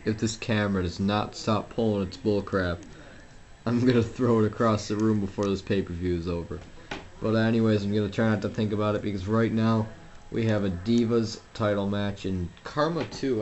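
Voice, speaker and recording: A man's voice: lowish voice, a little mellow, muffled recording, slight background noise. A young man commentating a wrestling video game at home, rambling and self-deprecating, with lots of fillers and restarts. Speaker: gameplay commentator. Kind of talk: casual solo game commentary.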